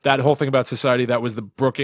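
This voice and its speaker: Male podcast co-host. Conversational, mid-pitched, animated when retelling and quoting. Close, dry recording. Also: racing, dry recording. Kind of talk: casual chat, retelling and quoting.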